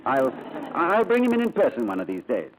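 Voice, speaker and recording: An actor playing a gentle, excitable new father in 1940s radio style, eager and prone to stammering. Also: mid-range voice, brisk. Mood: proud, eager. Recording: noisy old radio recording.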